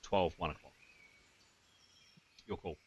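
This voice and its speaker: A man running a tabletop game as game master, thinking out loud and correcting himself as he goes. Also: deep voice, fairly even intonation, takes it slowly, slightly rough, dry recording, lo-fi sound. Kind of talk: casual tabletop game narration and adjudication.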